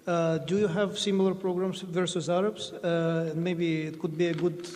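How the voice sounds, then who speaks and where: High, flat-voiced man asking a question. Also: normal speed. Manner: hesitant, filler-heavy questioning. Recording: long reverb of a conference hall.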